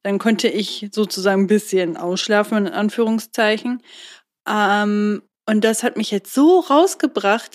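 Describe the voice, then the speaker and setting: A young woman co-hosting a casual podcast, chatty and rambling, laughing often as she tells stories. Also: mid-range voice. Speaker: podcast co-host. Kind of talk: casual chat.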